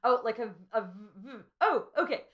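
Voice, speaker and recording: A woman's voice: on the high side, lively intonation, brisk. A woman hosting a wellness show on a video call, laughing often and reading viewer comments aloud in a casual, friendly way. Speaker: host. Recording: dull lo-fi video-call audio.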